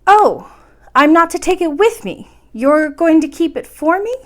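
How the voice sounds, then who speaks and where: A woman's voice: very high-pitched, big pitch swings, unhurried, fairly bright tone, pitched above the performer's own voice, heavily acted. A woman voicing an eager, polite, wide-eyed little princess in a storybook read-aloud, speaking in an earnest, exclaiming way. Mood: pleading.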